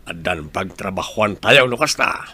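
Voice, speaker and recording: A man's voice: deep voice, lively intonation, normal speed, bright, forceful tone, husky, no echo, heavily acted. An actor with a northern Philippine accent playing an earnest, helpful village man in a conversational radio drama style. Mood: upbeat.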